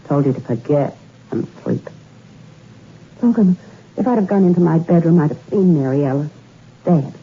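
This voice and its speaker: A woman's voice: deep voice, lively intonation, moderate pace, long pauses, breathy edge, heavily acted. An actress playing a rich young woman confessing to murder, cool and bitter, in 1960s radio drama style on an old muffled recording. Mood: cool confession. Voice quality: cool, confessional, then anguished.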